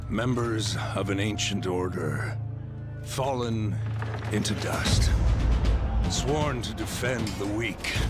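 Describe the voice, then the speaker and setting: Grave chronicler of warrior orders for a game, deep-leaning and deliberate, under swelling loud music. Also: male voice. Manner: grave, measured. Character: solemn male storyteller of ancient warrior orders. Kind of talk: scripted narration, epic trailer-like.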